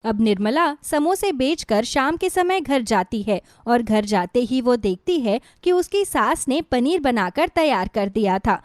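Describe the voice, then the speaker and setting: Higher-voiced woman narrating a story. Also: animated, a little slow, hardly any pauses, no echo, studio quality. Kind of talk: scripted moral bedtime story narration.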